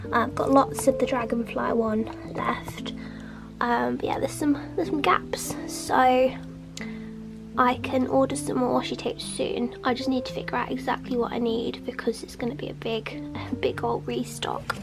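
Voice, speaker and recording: A young woman with a cold talks casually in a soft, half-whispered, rambling way from her small home art studio. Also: fairly high pitch, fairly even intonation, normal speed, vocal fry, over music. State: sniffly with a cold. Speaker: artist vlogger. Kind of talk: casual studio vlog chat.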